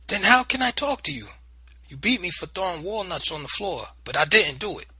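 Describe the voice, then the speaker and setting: A man's voice: on the high side, big pitch swings, moderate pace, pushed and bright, breathy edge, dry recording, pitched above the performer's own voice, exaggerated voice. A man doing a frightened, defiant young boy. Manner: pleading, defiant.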